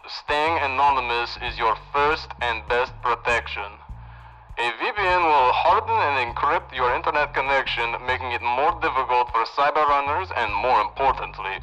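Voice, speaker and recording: An actor playing a cocky, self-important technomancer who gives breezy, instructive safety announcements on the radio in a sci-fi audio drama. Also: male voice, mid-range voice, sharp tone, poor audio. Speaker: in-story radio broadcaster.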